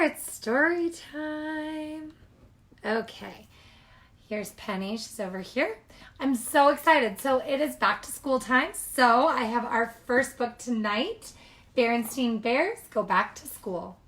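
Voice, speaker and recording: A woman reading a picture book aloud to young children in an animated, expressive way, with casual asides. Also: on the high side.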